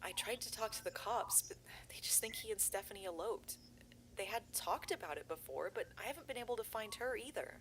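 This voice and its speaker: A woman's voice: medium pitch, lively intonation, brisk, a bit bright, a little hiss or hum. A woman playing a worried young videographer searching for her missing brother in an analog horror audio drama, talking in an earnest, rambling way.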